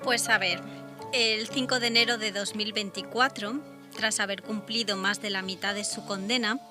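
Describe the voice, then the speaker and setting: A woman's voice: mid-range voice, steady tone, moderate pace, bright, forceful tone, faint music behind. A woman with a Spain accent explaining things casually as a radio panelist.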